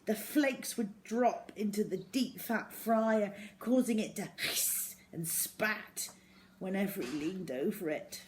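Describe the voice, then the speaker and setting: Unhurried woman reading a children's story. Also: mid-range voice, noisy background. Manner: expressive, acts out dialogue and sound words. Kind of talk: children's story read-aloud.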